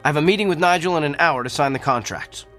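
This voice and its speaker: An arrogant celebrity doctor acted by a man in a radio play. His voice is high and forceful, with animated, self-important phrasing over background music. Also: quick pace, lightly acted. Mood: cocky, scornful. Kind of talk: theatrical radio drama acting.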